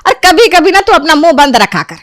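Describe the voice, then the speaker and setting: A woman's voice: very high voice, big pitch swings, fairly quick, a bit bright, no echo, pitched above the performer's own voice, exaggerated voice. A blunt older matriarch voiced by a woman storyteller. She speaks in a chiding, matter-of-fact way as the mother-in-law of a family story, in a dry recording. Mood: dismissive.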